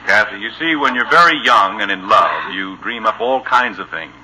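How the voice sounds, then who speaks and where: A man's voice: on the low side, lively intonation, normal speed, heavy background noise, natural voice. An actor playing a flustered, exasperated middle-aged American father in a radio situation comedy, prone to stammering and repeating himself. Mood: patient. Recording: old, bright radio broadcast recording.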